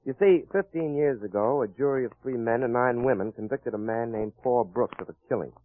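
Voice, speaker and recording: An actor playing a wry, confident private detective in a hard-boiled old-time radio crime drama. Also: male voice, mid-range voice, fairly quick, lightly acted. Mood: wry, assured. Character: wry confident male private detective cracking a murder case. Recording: muffled vintage radio recording.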